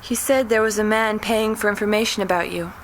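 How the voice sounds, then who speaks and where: A woman's voice: medium pitch, fairly even intonation, brisk, slight background noise, natural voice. An actress playing a frightened but plucky teenage girl in an audio drama, earnest and urgent. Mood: anxious, trusting.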